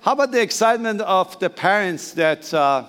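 An older man from Iran preaching a sermon in English with a Persian accent, halting and repeating phrases. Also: high voice, lively intonation, a bit bright, clear, resonant tone. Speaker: pastor. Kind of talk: bilingual sermon. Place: church sanctuary. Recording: reverberant church hall.

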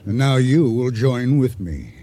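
A man acting a boastful, scheming sea-adventurer and hijacker in a radio drama, with a deliberate, insinuating delivery full of dramatic pauses. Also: medium pitch, sing-song, dark tone, a little hiss or hum. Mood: persuasive, scheming. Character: boastful, scheming male sea-adventurer turned ship hijacker, silkily persuasive.

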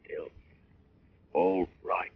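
An actor playing a dazed, weak, dying man with a faint husky voice in radio drama. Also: low voice, little pitch movement, very slow, slight background noise, exaggerated voice. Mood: dazed, fading. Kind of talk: 1940s radio acting. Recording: muffled old radio recording.

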